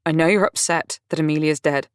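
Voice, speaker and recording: A woman's voice: low voice, steady tone, smooth, dry recording, studio quality, close to an everyday voice. A woman voicing a patient friend who speaks carefully and holds back her temper. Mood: restrained. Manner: measured, restrained.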